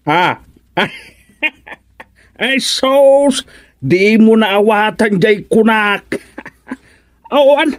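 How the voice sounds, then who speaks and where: Older Ilocano in-law male actor, radio comedy serial: teasing, exclamatory, very unhurried drawl. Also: high-pitched, expressive, full character voice.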